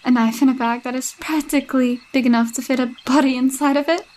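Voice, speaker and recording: A woman's voice: high voice, steady tone, fast talker, a little hiss or hum, lightly acted. A woman doing a possessive, sweet-talking girlfriend. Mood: creepy menacing. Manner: sweet-talking, coaxing, with unsettling laughs and hushed asides. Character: possessive, jealous young girlfriend with a sinister streak. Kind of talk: roleplay audio drama.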